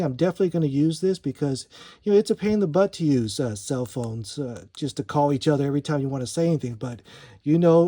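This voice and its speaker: A man's voice: a little high, mellow. A man giving a casual gear review in an informal, explanatory way, as a vlogging product reviewer.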